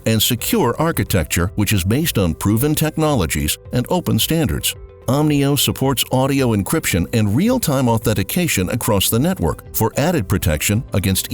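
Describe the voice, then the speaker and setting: A man reading scripted narration for a corporate promotional video. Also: lowish voice. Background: music.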